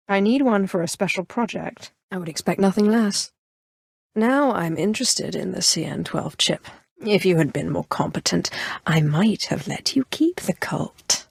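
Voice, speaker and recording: An actress playing a ruthless, haughty Sith sorceress in a video game, speaking in an imperious, commanding and coolly menacing manner. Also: female voice, on the low side, vocal fry, dry recording, studio quality. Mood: contemptuous, proud. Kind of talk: scripted video game dialogue.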